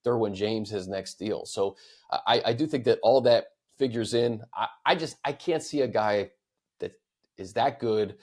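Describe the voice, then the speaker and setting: An American man hosting a sports podcast, discussing the game casually and giving his opinions in a measured way. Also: fairly low pitch, darkish tone.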